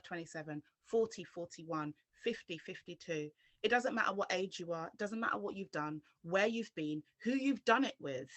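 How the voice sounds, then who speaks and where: A woman's voice: mid-range voice, pushed and bright, no echo. Earnest woman from London, dry sound. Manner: earnest, direct appeal to the viewer. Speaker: interview guest. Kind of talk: personal testimony.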